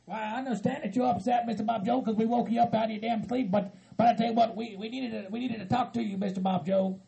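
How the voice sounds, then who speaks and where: A man voicing an earnest Southern hillbilly kinsman who stammers, repeats himself and tries to calm others down. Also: very high voice, fast talker, creaky, full character voice, poor audio. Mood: earnest, placating. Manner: stammering, repetitive, pleading for calm.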